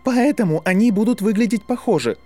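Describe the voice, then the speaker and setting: A man's voice: sits high, lively intonation, fairly quick, darkish tone, voice pushed up. A man voicing an anguished, accusing boy wizard in an audiobook, with a trembling, heated delivery over music. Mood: shaken, defensive.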